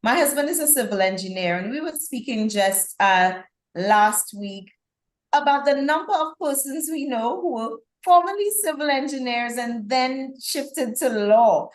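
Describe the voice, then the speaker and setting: A woman's voice: sits high, expressive, unhurried, echoey room. A woman hosting a live interview, courteous and chatty. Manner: courteous and chatty, light laughter. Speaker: host and court official.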